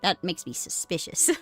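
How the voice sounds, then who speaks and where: Rambling woman streamer over music. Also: mid-range voice. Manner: rambling, self-interrupting, easily amused. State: sick with a cold. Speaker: game streamer. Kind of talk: casual live game stream commentary, reading dialogue aloud. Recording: game music behind the voice. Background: game music.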